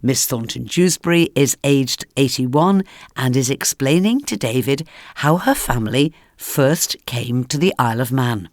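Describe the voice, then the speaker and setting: A woman presenting a radio programme, reading scripted links that introduce interviews in a measured narrating style. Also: a bass voice, lively intonation, no room sound, clean studio sound. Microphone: close studio microphone, dry.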